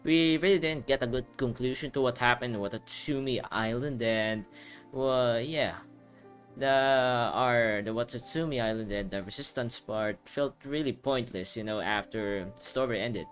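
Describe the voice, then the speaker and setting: A man's voice: medium pitch, normal speed, fairly bright tone. Casual male gamer thinking aloud over music. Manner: informal, rambling, thinks aloud. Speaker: gaming video host. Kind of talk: casual playthrough commentary.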